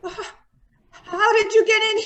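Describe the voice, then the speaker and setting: A woman's voice: extremely high pitch, steady tone, a little slow, sharp tone, exaggerated voice. A woman playing a timid, fluttery old aunt. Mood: frightened, pleading. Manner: fluttery, pleading, hesitant. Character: frightened older aunt, fluttery and pleading. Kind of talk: amateur old-time radio play.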